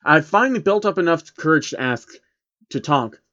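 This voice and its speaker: Man reading fan fiction, lively higher-pitched voice. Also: moderate pace, highs cut off. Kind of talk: amateur dramatic story reading.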